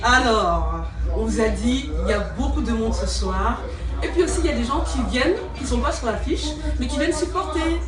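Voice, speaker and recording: Woman, 40s, bright high voice, teasing interviewer; roomy, distant sound. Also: brisk, music in the background. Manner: teasing, laughs often. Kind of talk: backstage interview.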